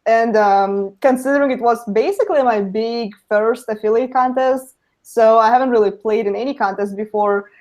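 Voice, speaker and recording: A woman's voice: a little high, normal speed, a little mellow. Young woman with a Russian accent. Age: young adult. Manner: laughs often, lots of "you know" fillers. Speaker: guest speaker. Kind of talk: casual webinar interview.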